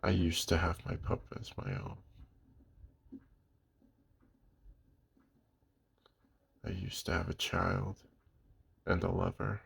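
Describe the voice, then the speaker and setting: Old lone werewolf, played by a man: rock-bottom pitch, heavily raspy, weary, protective and tender under the gruffness, close ASMR audio drama. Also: flat delivery, heavily acted. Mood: sorrowful, tender. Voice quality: very deep, husky, gravelly. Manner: slow, hushed, with long pauses. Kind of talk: intimate ASMR audio roleplay.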